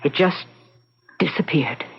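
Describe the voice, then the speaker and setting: A woman playing a grieving, haunted middle-aged housekeeper, telling her tale haltingly and solemnly in an old-time radio drama style. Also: low-pitched, creaky, breathy edge, full character voice. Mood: grave, haunted. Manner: halting, sorrowful storytelling. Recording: dull lo-fi radio recording.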